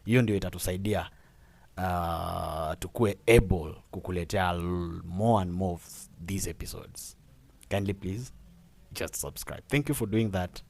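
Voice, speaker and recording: A man's voice: deep voice, unhurried, vocal fry, darkish tone. A young Kenyan man hosting a casual podcast, talking with many fillers and repeated words and laughing often. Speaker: podcast host.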